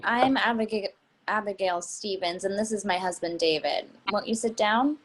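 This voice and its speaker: Actress as nosy polite middle-aged mom, medium pitch, a bit formal, stage play reading. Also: female voice, normal speed, background noise, close to an everyday voice. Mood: guarded, polite. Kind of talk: readers theatre play reading.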